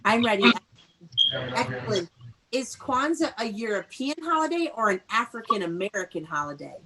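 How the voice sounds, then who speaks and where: Woman, primary school teacher, medium pitch. Instructive online read-aloud delivery, clearly stressed key words, call-and-repeat prompts. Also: very animated, moderate pace, background noise. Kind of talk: interactive online read-aloud lesson for young children. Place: indoors, on a video call. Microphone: video call.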